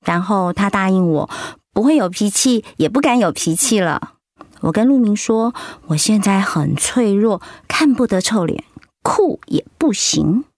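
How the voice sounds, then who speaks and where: An actress playing a hurt, outspoken wife recovering from cancer in an emotional, plaintive audio drama performance. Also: female voice, medium pitch, animated, measured pace, a little mellow, dry recording, studio quality. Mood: reflective, firmer.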